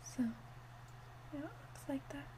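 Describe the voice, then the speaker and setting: A woman speaking in a hushed, gentle way, narrating an ASMR roleplay step by step. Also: high-pitched, animated, measured pace, background noise. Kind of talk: whispered ASMR roleplay demonstration.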